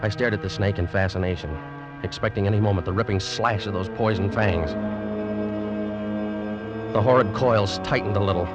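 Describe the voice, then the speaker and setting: Deep male voice, acted haunted young seaman, grave and deliberate, radio suspense narration, dramatic music behind. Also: steady tone, lightly acted. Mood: dread. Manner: slow, deliberate, intense dramatic delivery. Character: haunted, guilt-ridden young seaman narrating his terror.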